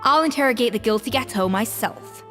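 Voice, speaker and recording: Woman voicing a snarky proud chef lady, bit high voice, reverby w/ soft game music. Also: a little fast, natural voice. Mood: dry. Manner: sharp, sardonic and commanding. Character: proud, sardonic woman chef, sharp-tongued. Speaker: disgraced head chef. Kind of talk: game voice acting.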